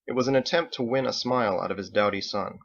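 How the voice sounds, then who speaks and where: A male author narrates his story as an audiobook. His voice is of middling pitch with a noticeable creak, and the recording sounds slightly dull. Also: quick pace.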